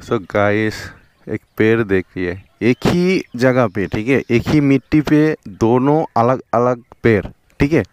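A man's voice: medium pitch, animated, slow, clear, resonant tone. Bengali-accented young man vlogging from a motorbike. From West Bengal, India. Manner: chatty, repeats "theek hai" and "dekho". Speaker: motovlogger. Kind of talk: casual vlog narration while riding. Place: outdoors on a riverbank, on a motorbike. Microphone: helmet or chest action camera.

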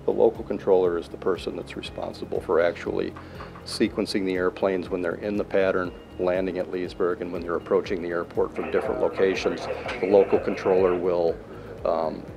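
Deep gravelly man explaining his job. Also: little pitch movement. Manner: plain, measured, fills pauses with uh and um. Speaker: air traffic control manager. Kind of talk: interview answers. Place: control tower cab, indoors, large windows. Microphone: handheld mic held by interviewer.

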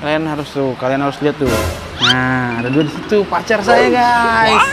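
A man's voice: fairly high pitch, normal speed, loud music behind the voice. Joking young man vlogging at a café. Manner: jokey, playful, rambling. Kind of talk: comedic prank vlog. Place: outdoor café terrace.

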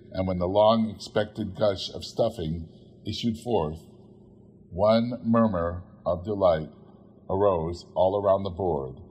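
A man reads literary fiction aloud as a storyteller. His voice sits fairly low with a husky, rough edge, and his narration is expressive. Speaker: audiobook narrator.

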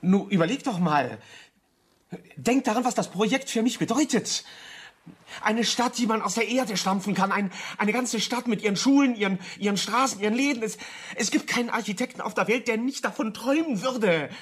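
Earnest young male architect, radio drama. Raspy-edged, higher male voice, pleading, stumbling over words. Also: normal speed. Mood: pleading, fervent. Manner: stammering, repeating words, pleading. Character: earnest, ambitious young male architect, excitable and easily hurt. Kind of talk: German radio drama acting.

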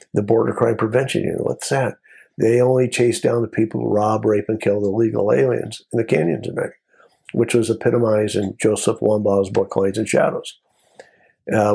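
An American man in his sixties with a husky, gravelly voice talks as a guest in an interview. He tells his stories in a casual, anecdotal way, often in short clipped phrases, at a middling pitch. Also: warm tone. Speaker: guest, former Border Patrol agent.

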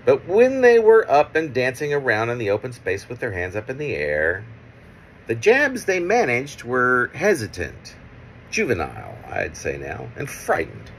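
A middle-aged man reading his own poem aloud in halting, measured pieces, with casual asides. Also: medium pitch, wildly swooping pitch, fairly bright tone, a little hiss or hum, poor audio. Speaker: poet reading own work. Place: small room at home, indoors. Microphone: phone at arm's length.

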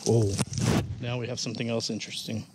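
A man talks casually as he walks outdoors through the woods, musing and often unsure what he is looking at. His voice sits at an ordinary pitch, and clear outdoor noise runs under the recording. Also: little pitch movement, fast. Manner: musing, unsure, thinking aloud. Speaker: vlogger. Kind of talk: casual walking commentary.

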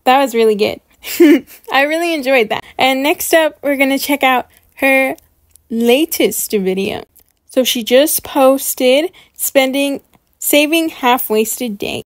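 Female reaction host, high voice, bubbly and chatty, full of enthusiasm, dry sound. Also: slow, no room sound. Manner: bubbly, gushing, excited. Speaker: YouTube host reviewing channels. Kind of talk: casual reaction commentary.

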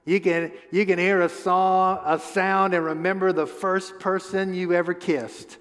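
A man's voice: high voice, unhurried. A man in his fifties preaching a conversational sermon on a church stage through a headset mic, asking the crowd questions and often restarting his words. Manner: animated, gestures a lot while pacing the stage. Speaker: pastor. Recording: long hall reverb.

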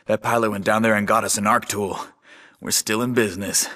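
A man's voice: on the low side, steady tone, a little fast, pushed and bright. A man voicing a tough, determined soldier in a video game, matter-of-fact and military.

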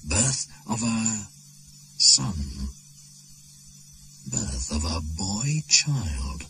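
Ancient, deep, brooding shaman spirit. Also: big pitch swings, extremely slow, sharp tone, a little hiss or hum, full character voice. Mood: bitter, proud. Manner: incantatory, solemn, poetic delivery. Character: ancient pagan shaman spirit, proud, brooding, resentful of Christians. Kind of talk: dramatic radio play monologue. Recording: 1980s radio drama with music behind.

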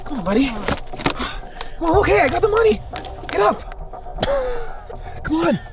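A man in his twenties as a challenge contestant, talking casually and urgently in an excited, exclamatory way. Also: very high-pitched, takes it slowly. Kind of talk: excited casual chat in a staged skit. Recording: noisy handheld camera audio.

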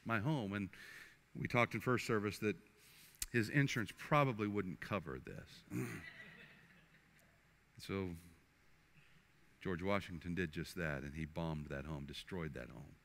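An older man, a pastor, preaching and then praying at the altar in a church sanctuary, earnest and halting, often restarting his words. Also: fairly low pitch. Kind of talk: sermon and altar prayer. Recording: faint guitar music underneath. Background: soft guitar music.

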